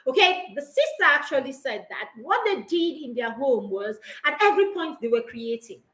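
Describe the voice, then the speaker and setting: Woman, middle-aged, emphatic, rhetorical questions, ringing bright voice, roomy echo. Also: high voice, very animated, normal speed. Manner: emphatic, repeats key phrases, asks rhetorical questions. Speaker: parenting mentor. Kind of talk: live talk to parents.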